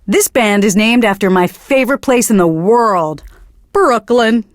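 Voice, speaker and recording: An actress playing a young, earnest and smugly pretentious hipster DJ in a video game, talking between songs. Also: female voice, fairly high pitch, measured pace, dry recording, clean studio sound, close to an everyday voice. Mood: dreamy, enthusiastic. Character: pretentious young hipster indie radio DJ woman, earnest and smug. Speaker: radio DJ. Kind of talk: radio DJ patter between songs.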